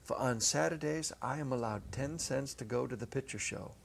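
A middle-aged man narrating a story dramatically from a script, with deliberate phrasing and pauses. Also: mid-range voice, creaky, slight background noise. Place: small indoor stage set. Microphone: clip-on lapel mic.

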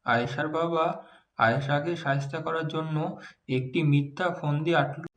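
A man's voice: a little high, fairly even intonation, moderate pace, a bit bright. A man reading scripted narration for a moral story as its narrator. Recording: roomy, reverberant, distant-sounding narration.

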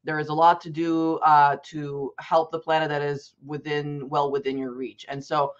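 A man's voice: on the high side, level tone, normal speed. A young man from Bulgaria tells students a personal story during an online presentation. He is earnest and conversational and often restarts his sentences. His audio comes through a dull, lo-fi video call line. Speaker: advocacy specialist presenting to students.

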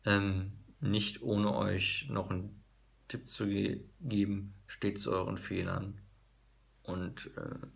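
A man in his thirties, hoarse, vlogging casually to a phone camera in a small room, halting with many fillers. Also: on the low side, level tone. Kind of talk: casual vlog talk to camera. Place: small bedroom office indoors. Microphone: phone camera at arm's length.

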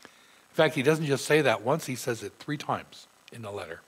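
Man, middle-aged, pastor, husky voice, sore throat, earnest sermon, church sanctuary, pulpit mic. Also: medium pitch, lively intonation, normal speed, fairly bright tone. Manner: earnest preaching, reading scripture aloud with emphasis.

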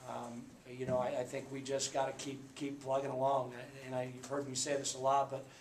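A man in his forties, a basketball coach, speaking at a postgame press conference in a subdued, halting way with many fillers. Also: mid-range voice, monotone. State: subdued after a loss. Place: small press room indoors. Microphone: table mic. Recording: echoey room, faint background hum.

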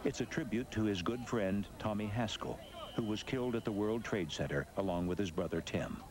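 A man's voice: lowish voice, a little fast, crowd noise. A man reading scripted narration for a sports documentary. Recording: loud music behind the voice. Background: music.

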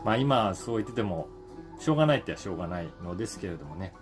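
A man in his forties with a fairly low voice talks casually, as in a solo video column. He is conversational and reflective, pausing to find his words. Music plays clearly behind him. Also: animated. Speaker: voice actor and stage actor, channel host. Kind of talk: casual solo talk to camera, daily column.

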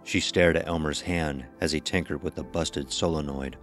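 A man's voice: extremely low pitch, fairly even intonation, normal speed, fairly bright tone, faint music behind. A man narrating a scripted horror story as the narrator of an audio drama.

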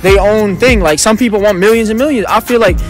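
A man's voice: fast, soft background music. A man, talking in brash, repetitive slang in a casual street interview. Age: 20s. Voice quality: high-pitched. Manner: slangy, brash, repetitive, animated hand-talker. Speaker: interview guest, rapper and comedian. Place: outdoors in a city park with people around. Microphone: phone held at chest by interviewer.

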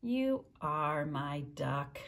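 A woman reading a picture book aloud, voicing a small eager duck and the other animals with bouncy jumps in pitch. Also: huge pitch swings, slow pace, noisy background, heavily acted. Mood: playful.

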